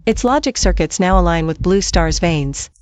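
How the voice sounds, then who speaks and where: A woman voicing a loyal, urgent female comrade, earnest and insistent, in a dramatic animated comic. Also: fairly low pitch, moderate pace, no echo, lightly acted. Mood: earnest warning. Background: music.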